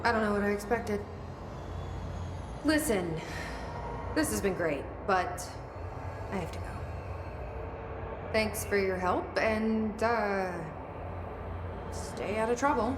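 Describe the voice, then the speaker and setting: A woman's voice: takes it slowly, pauses often, background noise, close to an everyday voice. An actress playing a wry, plainspoken young woman agent in a video game, talking in a dry, conversational, sardonic way. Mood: dry, awkward. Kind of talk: video game cutscene acting. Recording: echoey hall, loud rumbling ambience.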